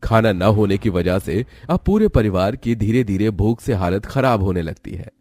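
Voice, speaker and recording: A man's voice: fairly low pitch, lively intonation, normal speed, darkish tone, no room sound, clean studio sound. A man reading scripted story narration in the role of narrator.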